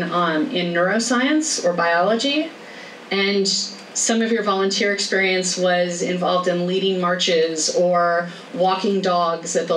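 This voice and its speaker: Brisk woman speaking in an echoey room. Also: lowish voice. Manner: brisk, chatty, laughs easily. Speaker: career workshop speaker. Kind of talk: informal talk with Q&A. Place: lecture room. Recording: echoey room, distant mic, faint noise.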